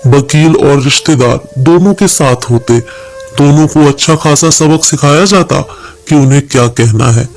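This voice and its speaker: A man reading a scripted story aloud as a storyteller, with measured, dramatic delivery. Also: a little high.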